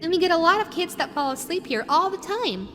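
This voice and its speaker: A woman's voice: extremely high pitch, lively intonation, a little fast, noisy background. A woman voicing a sweet, teasing female animatronic fox made for children, in an intimate roleplay audio addressed to the listener. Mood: playful, coaxing. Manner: playful cooing, drifting into wistful musing. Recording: long reverberant hall echo. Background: music.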